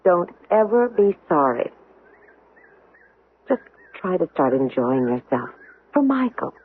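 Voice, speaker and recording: A woman's voice: fairly low pitch, sing-song, slow, exaggerated voice. A woman playing a breezy, insistent companion in a 1970s radio drama, with a coaxing manner. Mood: coaxing, eager. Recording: tinny band-limited old radio, clear noise.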